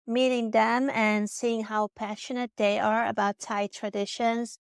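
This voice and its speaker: A woman's voice: a little high, vocal fry, clean tone, no room sound, clean studio sound. A woman from the Netherlands with a Dutch accent, narrating a personal vlog in an earnest, reflective way. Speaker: vlogger.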